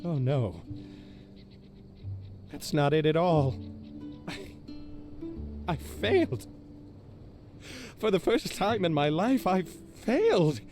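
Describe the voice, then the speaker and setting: An actor playing a pompous, boastful self-styled hero in a video game, with grandiose, drawn-out delivery and insecurity underneath. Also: male voice, a little high, very animated, darkish tone, faint music behind. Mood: distraught. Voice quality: theatrical, exaggerated delivery. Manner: grandiose, mock-heroic, drawn-out emphatic phrasing.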